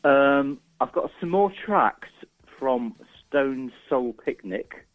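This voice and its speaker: A man's voice: mid-range voice, animated, slow pace. A man being interviewed as a musician guest in casual chat, hesitant and easygoing, over a narrow phone-like connection. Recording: narrow, muffled phone-like call.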